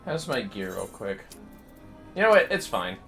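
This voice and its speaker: Low-voiced man chatting over game music. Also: sing-song, measured pace, vocal fry, fairly bright tone. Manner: rambling, easygoing, half talking to self. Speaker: livestreamer. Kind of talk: casual gaming livestream chat, muttering to self and viewers. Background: video game music.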